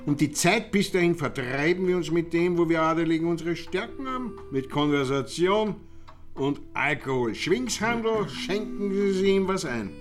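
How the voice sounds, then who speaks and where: An actor playing a chatty, pompous, fussy village count in a radio play, with an Austrian accent and hesitant repetitions. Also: male voice, a little high, expressive, moderate pace, faint music behind, lightly acted. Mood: affable, pompous. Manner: affable, pompous, repeats and hesitates.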